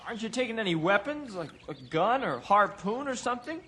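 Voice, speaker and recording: A man's voice: sits high, big pitch swings, a little fast, pushed and bright, husky, heavily acted. A man acting an eager, worried young ranger sidekick in an old-time radio adventure, earnest and full of questions. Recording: old radio recording with music.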